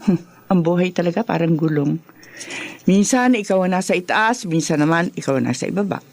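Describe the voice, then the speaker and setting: A woman playing a doting, excitable, fussy middle-aged mother in a radio drama, exclaiming and nagging as she chats. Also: lowish voice, brisk, no room sound. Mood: wistful. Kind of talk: Tagalog radio drama acting.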